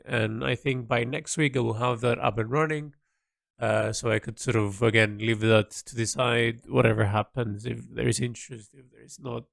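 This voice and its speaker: A man recording an unscripted, reflective audio log, speaking in a halting, rambling way as he thinks aloud and repeats himself. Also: mid-range voice, gravelly, no echo, clean studio sound. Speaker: audio log diarist, creative hobbyist.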